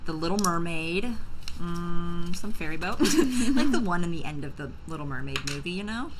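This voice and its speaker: A woman's voice: fairly low pitch, lively intonation, moderate pace, background noise. A woman hosting a casual livestream, chatting and laughing often while she builds. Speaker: livestream host.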